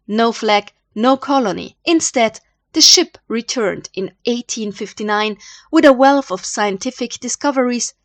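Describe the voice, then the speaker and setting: Austrian woman narrating history, high voice. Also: dry recording. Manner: dramatic, uses rhetorical questions. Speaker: history channel host.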